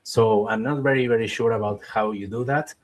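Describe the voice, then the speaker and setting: A man's voice: lowish voice, steady tone, fairly quick. Man explaining. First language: Spanish. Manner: explanatory, keeps checking in with "okay?"; cautious, qualifies advice with "in my opinion". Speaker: visa webinar host. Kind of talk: live webinar Q&A.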